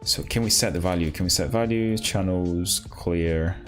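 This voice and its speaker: A man hosting a live coding stream, talking casually and haltingly as he thinks aloud and asks himself questions. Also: low voice, soft background music. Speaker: livestream host, programmer.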